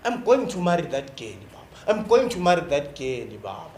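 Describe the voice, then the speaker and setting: A man's voice: a little high, big pitch swings, fairly quick, a little mellow. A Southern African man playing a boastful, lovestruck young bus-rank hustler in a stage play monologue, slangy and exclamatory, repeating words for emphasis. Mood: lovestruck, fervent. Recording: echoey stage with faint noise.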